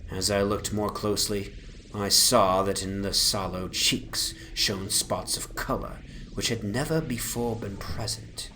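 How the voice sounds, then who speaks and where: A man giving a solemn, deliberate dramatic narration of a literary horror story over an ambient soundscape. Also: lowish voice, fairly bright tone, slight background noise, rough recording.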